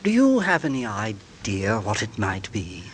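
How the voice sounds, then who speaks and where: A nervous, genteel husband. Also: male voice, on the low side, huge pitch swings, unhurried, slight background noise. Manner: genteel, polite, nervously hesitant. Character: mild suburban husband, nervous and polite. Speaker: narrator and lead. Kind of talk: 1940s radio drama narration. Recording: old radio broadcast with music.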